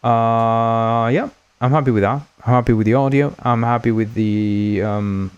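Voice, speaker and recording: A man's voice: medium pitch, fairly even intonation, a little slow, smooth, no room sound, muffled recording. A man whose first language is Spanish, hosting a game development livestream in a rambling, jokey way and thanking viewers often. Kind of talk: casual livestream chat.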